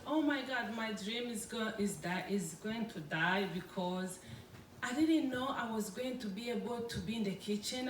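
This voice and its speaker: Slow, halting woman with a French accent. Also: a little high, fairly bright tone, slight background noise. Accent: French-influenced. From Congo. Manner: hesitant, halting, many pauses and fillers. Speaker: food entrepreneur speaker. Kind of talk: public talk. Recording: roomy, distant from the mic, faint background ambience.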